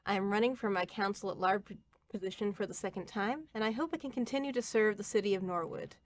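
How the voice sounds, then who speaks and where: A woman in her thirties from Ohio giving a prepared campaign statement as a city council candidate, earnest and steady with small slips. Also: mid-range voice, fast.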